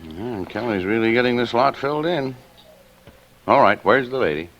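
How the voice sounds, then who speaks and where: A man's voice: mid-range voice, lively intonation, fairly bright tone, muffled recording, natural voice. An actor playing a middle-aged father in an old radio drama, genial and mock-formal, firm when pestered. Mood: indulgent. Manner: measured, jocular, mock-formal, sternly paternal. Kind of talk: 1940s-50s radio drama acting.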